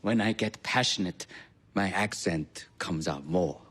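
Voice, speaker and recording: A man's voice: sits low, measured pace, a bit bright, breathy edge, exaggerated voice. An actor playing an earnest man who apologizes in a film scene. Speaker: film character. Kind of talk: film dialogue.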